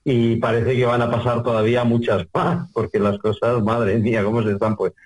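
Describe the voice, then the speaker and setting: A man's voice: medium pitch, flat delivery, normal speed, poor audio. An older man from Spain answering as an interview guest, reflective and conversational, wordy and often rephrasing as he goes. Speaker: interview guest, UFO contactee researcher.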